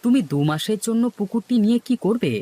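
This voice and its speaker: A man's voice: high voice, animated, fairly quick, warm tone. A man voicing a worried, suspicious poor village fisherman and husband in a cartoon. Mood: puzzled. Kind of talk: Bengali cartoon voice acting.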